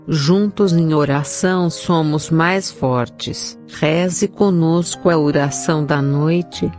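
Slow, low-voiced Brazilian woman reading prayers. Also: a little mellow. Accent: Brazilian Portuguese. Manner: solemn, measured recitation. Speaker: prayer reader.

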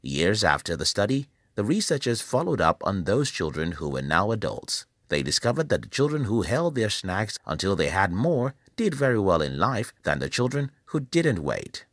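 A man from Nigeria, reading a scripted motivational voice-over in a measured, emphatic, preacherly way. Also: on the low side, sing-song, a bit bright, no echo, clean studio sound. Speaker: channel host.

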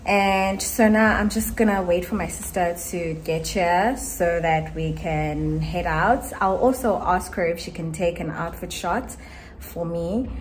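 Slow, relaxed South African woman vlogging. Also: fairly low pitch, a little hiss or hum. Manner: relaxed, chatty, addresses viewers as guys. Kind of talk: casual vlog chat to camera. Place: small room at home, indoors. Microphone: phone camera held close.

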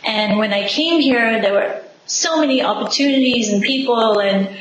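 A woman's voice: fairly high pitch, moderate pace, lo-fi sound. Enthusiastic woman from Singapore on a video call. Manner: enthusiastic, expressive, laughs often. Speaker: interview guest. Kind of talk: casual video-call interview. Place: home living room, indoors. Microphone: video call webcam. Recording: echoey room, faint background noise.

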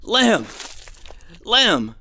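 An actor playing an uneasy, superstitious male gold prospector in an audio drama, speaking in a folksy, hesitant, excitable way. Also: sits high, very slow, slight background noise, exaggerated voice. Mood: alarmed.

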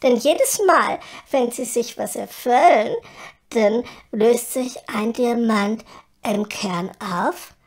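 Woman playing a high, animated, vain fairy. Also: exaggerated voice. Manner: theatrical, exclaiming, airy, shifting to chatty explaining. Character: vain, flighty female fairy, evasive and chatty. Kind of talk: comedy audio drama.

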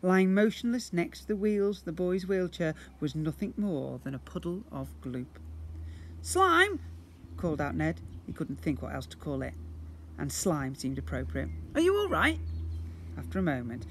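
A man's voice: a little high, moderate pace, a little mellow. A middle-aged man reading a children's story aloud like a teacher to young pupils, outdoors. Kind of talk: animated children's storybook narration. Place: outdoors in a brick-walled yard. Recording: noisy outdoor handheld recording.

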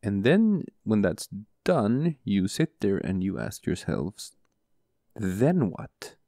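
A male podcast co-host with a booming voice, talking softly and slowly right next to the microphone in casual chat, often chuckling. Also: big pitch swings, dry recording. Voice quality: booming, gravelly voice. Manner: soft, close-mic murmur, chuckles often. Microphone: close studio mic.

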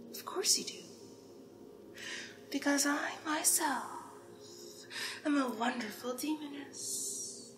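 A woman playing a seductive, teasing demoness hostess in an ASMR roleplay, speaking in breathy half-whispers and lingering on repeated words. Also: high-pitched. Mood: doting, hypnotic, then boastful, gracious. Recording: roomy echo, clear background noise.

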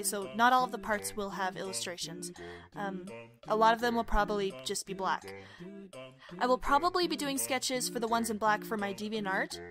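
A woman chatting casually to her followers as the creator of an audio drama. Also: mid-range voice.

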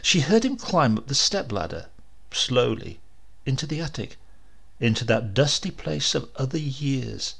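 A man's voice: mid-range voice, gravelly. A man narrating a literary story as a dramatic audiobook reader.

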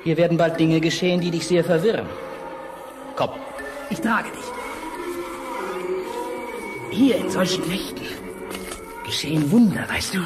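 An actor playing a mysterious, tenderly beckoning dream companion in an inviting radio drama manner. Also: male voice, sits high, slow pace, long pauses, over music.

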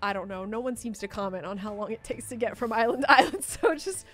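Girl doing lets play chat, kinda high voice, animated n swears a lot, game music low behind. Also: fast. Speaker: let's play host. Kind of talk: casual gameplay commentary with a co-host.

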